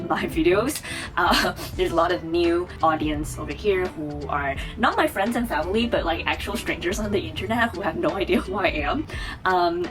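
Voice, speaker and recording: A woman in her twenties from Taiwan, chatting to camera as a vlogger, rambling with many fillers and restarts. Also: low voice. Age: 20s. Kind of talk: casual chat to camera.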